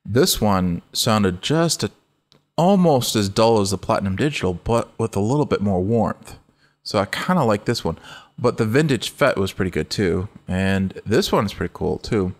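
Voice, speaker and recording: A middle-aged man with a low voice hosting a casual music-production walkthrough, relaxed and rambling, often correcting himself mid-sentence. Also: normal speed. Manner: relaxed, rambling, self-correcting, laughs easily. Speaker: music production tutorial host. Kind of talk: casual screen-recorded walkthrough.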